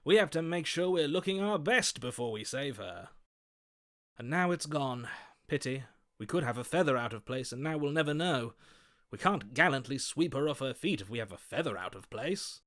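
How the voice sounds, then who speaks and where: An actor playing a gallant, lovestruck romantic in a video game, florid, vain and grandly theatrical. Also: male voice, medium pitch, expressive, moderate pace, a bit bright, lightly acted. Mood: preening, wistful. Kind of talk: video game character acting.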